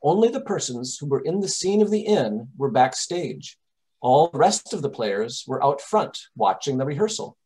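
Guy acting as a gentleman detective in an old radio play, calm n measured, takes charge, medium pitch. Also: close to an everyday voice, rough recording. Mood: reassuring. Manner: measured, reassuring, authoritative.

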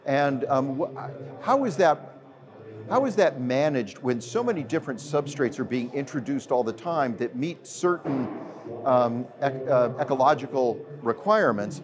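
A man's voice: mid-range voice, lively intonation, unhurried. An older man interviewing a guest at a trade fair, asking long, meandering questions. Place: busy trade fair hall, indoors. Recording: crowd noise of a trade fair. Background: crowd chatter.

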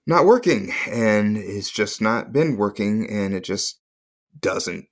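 An actor in an audio play playing an awkward, heartbroken, tender young father who speaks haltingly and corrects himself. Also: lowish voice, lively intonation. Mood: awkward, sad. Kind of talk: naturalistic comedy-drama acting.

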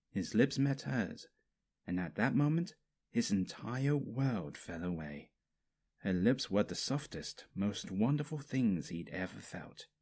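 A man's voice: lowish voice, a little mellow. A man reading a story aloud as a scripted narrator. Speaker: fanfiction narrator.

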